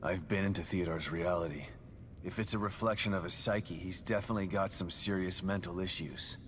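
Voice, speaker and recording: A man playing a gruff, weary, determined ex-detective in a survival horror game, speaking tersely and sardonically. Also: sits low, fairly quick, over music, natural voice. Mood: grim.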